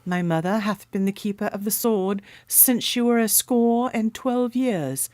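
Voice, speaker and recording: A woman's voice: medium pitch, measured pace, a little mellow, no echo, natural voice. A woman playing a solemn, courtly noblewoman of about thirty in a radio drama, speaking in formal, archaic phrasing. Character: solemn, courtly 31-year-old noblewoman guarding a royal sword.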